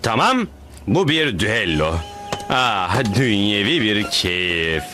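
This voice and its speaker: A man's voice: on the low side, big pitch swings, slow pace, sharp tone, faint music behind, full character voice. An actor voicing a big, upbeat, dim male superhero in a cartoon dub, with grandiose, theatrical delivery. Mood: blissful, grand. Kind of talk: animated cartoon dub acting.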